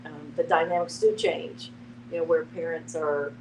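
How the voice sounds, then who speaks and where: Low-voiced woman, very noisy distant recording. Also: steady tone, normal speed. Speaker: discussion participant. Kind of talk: thoughtful casual discussion. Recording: very noisy room, distant mic.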